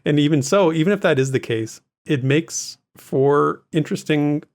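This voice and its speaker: A man's voice: a little high, moderate pace. A man co-hosting a casual gaming discussion in an easygoing, informal way. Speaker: podcast co-host.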